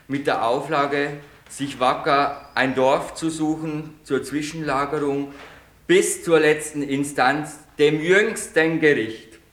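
A man's voice: fairly high pitch, fairly bright tone. A man playing a pompous, stern judge in a satirical radio play, commanding and mocking. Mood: solemn. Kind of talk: 1980s amateur radio play. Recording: roomy echo, faint hiss.

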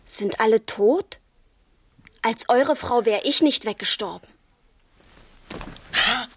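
High-voiced, teasing young girl in love. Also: slow pace, husky, exaggerated voice. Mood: teasing, curious. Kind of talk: literary radio play acting.

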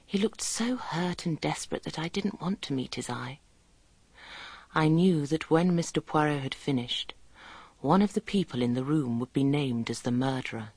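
A woman playing an observant, earnest nurse who narrates a radio drama in a measured, confiding way. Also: low-pitched, darkish tone, breathy edge, dry recording. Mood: hushed foreboding.

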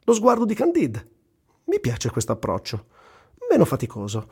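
A man's voice: fairly high pitch, huge pitch swings, moderate pace, darkish tone, breathy edge, full character voice. An actor reciting as a wry, earthy, self-mocking male war journalist, in a dramatic literary radio-theatre reading. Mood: amused, self-mocking. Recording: voice over prominent music. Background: music.

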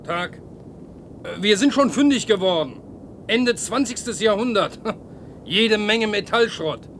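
High-pitched, greedy scrap scavenger. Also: male voice, expressive, slow, fairly bright tone, a little hiss or hum, heavily acted. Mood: gloating. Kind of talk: German science-fiction radio play.

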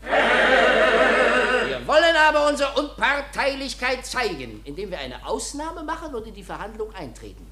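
An actress playing a stern, haughty court official in a German radio drama, delivering officious and scornful rebukes. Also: female voice, on the high side, sing-song, a little slow. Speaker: actor in a radio play. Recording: echoey room, loud background noise.

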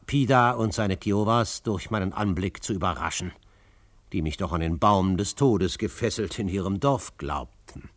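An actor playing a calm, commanding frontier adventurer in a German radio drama, measured and authoritative. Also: male voice, low-pitched, dry recording, lightly acted. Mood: measured narrating.

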